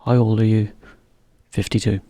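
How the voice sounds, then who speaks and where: A man teaching a beginner English lesson, explaining slowly in plain words with example sentences. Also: fairly low pitch, little pitch movement, dark tone, no room sound.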